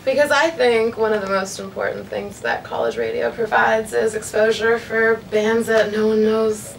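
A woman in her twenties answers questions casually and haltingly. Her voice is creaky at a middling pitch. The room echoes and the mic is a little distant. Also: expressive, a little hiss or hum. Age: 20s. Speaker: interview guest, radio station creative director. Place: room with couches, amps and instruments.

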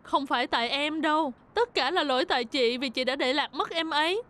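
A fretful caring young van. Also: female voice, sits high, fairly quick, bright, forceful tone. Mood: gentle. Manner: anxious, polite. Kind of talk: cartoon dubbing.

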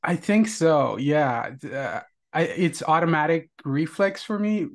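A man's voice: on the high side, expressive, a little slow. A man in his thirties whose accent mixes Indian and Irish. He speaks close to the microphone as a podcast host, asking questions in a casual, conversational style. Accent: mixed Indian and Irish. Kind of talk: casual interviewing. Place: indoors, small room with wall art, on a video call. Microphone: large microphone on boom arm, close.

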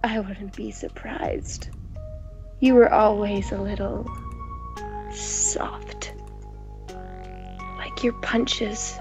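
A sinister wounded man from a visual novel, acted by a woman. He mocks slowly in breathy, halting half-whispers over faint music. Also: medium pitch, fairly even intonation, darkish tone. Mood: sinister mocking. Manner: halting, drawn-out taunts. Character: sinister, mocking, wounded man taunting his double.

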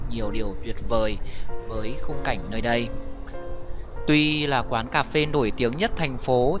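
Man narrating travel vlog over prominent music. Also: mid-range voice. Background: prominent background music.

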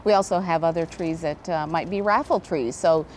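A woman's voice: low-pitched, normal speed, a little hiss or hum. A middle-aged woman with an American accent, answering questions conversationally as an event director in a TV interview, on a lapel mic. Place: large indoor event hall.

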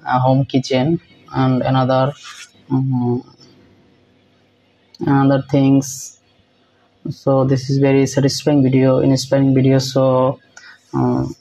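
A young man hosting a reaction video in halting, repetitive English with many fillers, filmed close on a phone in a small room. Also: medium pitch, warm tone, muffled recording. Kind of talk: casual reaction commentary.

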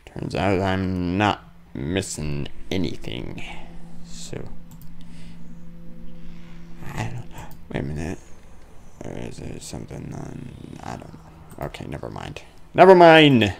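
A man's voice: rumbling low, huge pitch swings, measured pace, vocal fry, long pauses, noisy background. A man giving casual, thinking-aloud commentary on a horror game, grumbling and muttering with sudden exclamations. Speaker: let's play gamer.

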